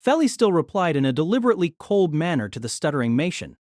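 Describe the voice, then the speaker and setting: A woman's voice: low voice, very animated, fast, a little mellow, no room sound, studio quality. A woman narrating a scripted, dramatized story as its narrator.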